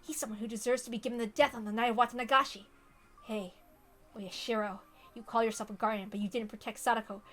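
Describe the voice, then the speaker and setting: A woman's voice: a little high, fairly even intonation, quick pace, a bit bright, soft background music. Woman voicing a menacing, vengeful teenage boy. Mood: bitter defiance. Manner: intense dramatic monologue, menacing. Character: teenage boy consumed by murderous, vengeful rage.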